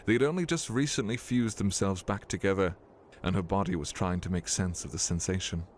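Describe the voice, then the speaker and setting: A man's voice: low voice, fairly quick, a little hiss or hum. A man reading scripted narration for a fantasy audio drama as its storyteller.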